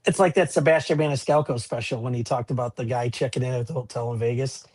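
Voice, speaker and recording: A man's voice: medium pitch, steady tone, fast talker, darkish tone, dry recording. A man co-hosting a podcast in casual, conversational chat. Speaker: podcast co-host.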